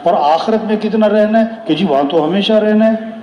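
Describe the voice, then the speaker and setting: Echoey, high-pitched male preacher. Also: moderate pace, lo-fi sound, slight background noise. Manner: repeats phrases for emphasis; rhetorical question and answer. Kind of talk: sermon with moral counsel and storytelling. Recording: echoey, reverberant room sound.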